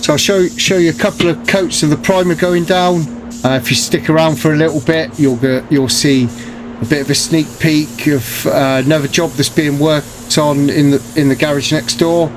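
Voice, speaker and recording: A man with a cold, sounding bunged up and croaky, talking casually to camera about his paint spraying work with many ums and restarts. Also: a little high, normal speed, noisy background. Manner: relaxed, hesitant, many fillers and restarts. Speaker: paint sprayer, channel host.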